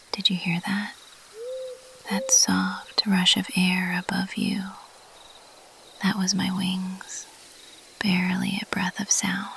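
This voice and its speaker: A woman voicing a mischievous, playfully elusive bat monster girl in a teasing, intimate, breathy whisper for an ASMR roleplay. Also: fairly low pitch, a bit bright, slight background noise, heavily acted. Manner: slow, teasing, intimate, lingering on pauses. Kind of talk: ASMR roleplay, addressed to the listener.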